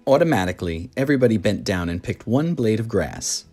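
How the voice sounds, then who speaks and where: A narrator reading a children's story aloud in an expressive, dramatic way. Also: mid-range voice, a little fast, soft background music.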